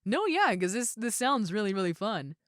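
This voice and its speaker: A woman playing a gentle, shy young ogre roommate in an intimate comfort roleplay, talking softly, casually and a little hesitantly to the listener. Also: mid-range voice, big pitch swings, moderate pace, no echo, clean studio sound, lightly acted. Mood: shyly excited. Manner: soft, hesitant, casual, reassuring.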